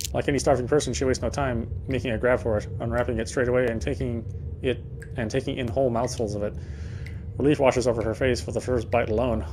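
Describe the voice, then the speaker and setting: A man's voice: mid-range voice, darkish tone, slight background noise, low-quality recording. A man reading first-person game narration aloud in a dramatic storytelling style, now and then stumbling over words.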